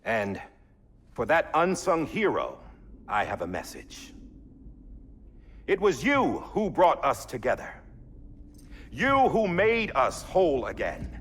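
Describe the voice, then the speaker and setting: A husky solemn president. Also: male voice, fairly high pitch, huge pitch swings, a bit bright, exaggerated voice. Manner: solemn, oratorical, measured. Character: solemn middle-aged male president giving speech. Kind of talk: speech in a video game cutscene.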